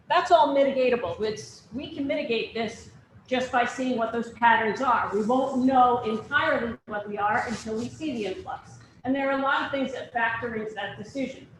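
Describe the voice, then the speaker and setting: Woman, higher voice, direct, plain-spoken, town forum, roomy echo. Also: fairly bright tone, slight background noise. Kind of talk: public forum answer. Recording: echoey meeting room.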